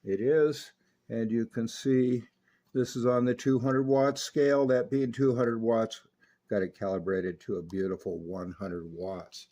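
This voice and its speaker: A man's voice: medium pitch, flat delivery, measured pace, dark tone. A man giving an unscripted, hands-on technical demonstration of equipment, explaining each step methodically. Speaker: radio restorer demonstrating equipment.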